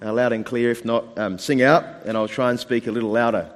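Male pastor, mid-pitched and a touch croaky, telling stories in a sermon, long hall reverb. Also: steady tone, a little fast. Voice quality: slightly croaky. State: slightly hoarse. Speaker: pastor preaching. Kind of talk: sermon with storytelling. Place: church hall, speaking to a congregation. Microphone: microphone with amplification.

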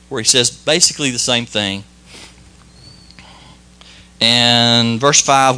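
A man giving an informal church sermon and prayer, folksy and modest, talking in halting phrases with many restarts and fillers. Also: medium pitch, pushed and bright. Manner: modest, plain-spoken. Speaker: lay preacher.

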